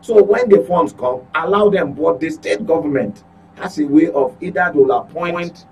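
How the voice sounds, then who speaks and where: A man's voice: a little high, normal speed, darkish tone, a little hiss or hum, poor audio. A man with a Nigerian accent giving opinionated current affairs commentary as a journalist, speaking emphatically, repeating points and asking rhetorical questions.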